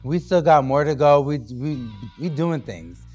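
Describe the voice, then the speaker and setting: A man's voice: fairly high pitch, measured pace, a little mellow, soft background music. A young man from New Hampshire talking loosely as a guest on a podcast, with lots of fillers and restarts. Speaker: guest artist. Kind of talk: casual podcast interview.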